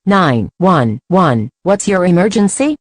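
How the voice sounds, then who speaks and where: A woman playing a businesslike emergency dispatcher in an audio drama, speaking in a formal, measured manner. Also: fairly low pitch, very animated, no room sound. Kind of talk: amateur audio drama acting.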